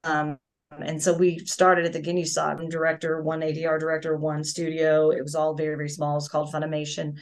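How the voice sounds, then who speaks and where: A woman's voice: deep voice, monotone, a little fast. A middle-aged woman, a voice actress and singer, chatting as a guest in a casual interview over a video call, telling stories with many restarts.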